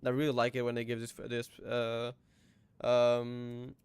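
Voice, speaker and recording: A man casually thinking aloud and reacting excitedly while playing a story game. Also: mid-range voice, measured pace, smooth, no echo. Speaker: gaming YouTuber. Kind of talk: let's play reaction commentary. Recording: voice over loud game music.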